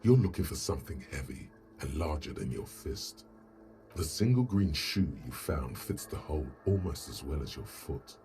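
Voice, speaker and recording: An actor voicing a grave, brooding inner voice that narrates a detective game in a deliberate, ominous, literary way. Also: male voice, a bass voice, expressive, dark tone, faint music behind. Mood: measured, observant.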